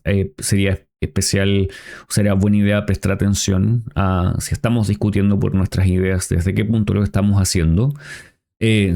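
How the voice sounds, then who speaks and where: Low gravelly male podcast host. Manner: calm, reflective, many "eh" pauses. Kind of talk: casual podcast conversation.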